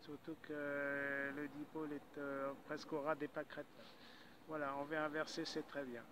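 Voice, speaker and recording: A man's voice: fairly high pitch, monotone, moderate pace, noisy background. A man chatting casually as an amateur radio operator, talkative and hesitant, dotting his talk with interjections.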